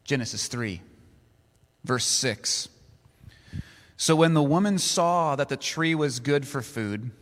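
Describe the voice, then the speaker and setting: A man preaching a sermon, reading scripture aloud in a slow, deliberate way. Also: medium pitch, fairly bright tone. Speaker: pastor. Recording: long reverb of a large hall.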